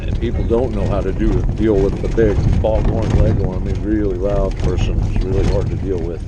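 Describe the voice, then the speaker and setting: Man, lowish booming voice, blunt rambling storyteller, loud outdoor noise behind. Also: level tone, brisk. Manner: blunt, rants, swears freely. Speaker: vlogger riding a solar trike. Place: outdoors, riding a trike.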